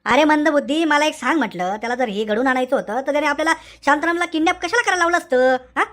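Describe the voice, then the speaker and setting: A man acts out a cartoon tough guy in a comedy, with a very high-pitched voice. He is taunting and emphatic, hammering phrases by saying them again. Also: rapid-fire, fairly bright tone. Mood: irritated. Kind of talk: Marathi comedy cartoon dialogue.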